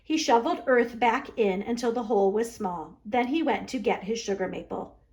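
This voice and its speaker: A woman's voice: on the high side, normal speed, darkish tone. A woman library storyteller narrating a children's storybook aloud.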